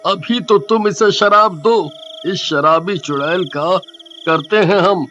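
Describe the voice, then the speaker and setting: A man voicing a poor, worried village farmer in a cartoon story, complaining in a plaintive, deliberate way. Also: sits high, sharp tone, lo-fi sound, soft background music, heavily acted. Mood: exasperated. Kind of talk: cartoon moral story acting.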